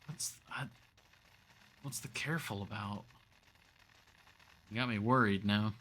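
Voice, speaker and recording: A man's voice: fairly low pitch, a little slow, bright, forceful tone, slight background noise. A man livestreaming a survival game with casual commentary, thinking aloud and chatting to viewers. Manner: thinks aloud, talks to chat.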